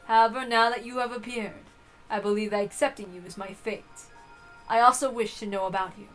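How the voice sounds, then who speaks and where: Woman acting arrogant golden witch, mid-pitched female voice, formal, imperious, visual novel character, light music underneath. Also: steady tone, brisk, fairly bright tone, natural voice, low-quality recording. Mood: formal, self-assured. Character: haughty, self-proclaimed elder-sister golden witch, female. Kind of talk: dramatic visual novel reading.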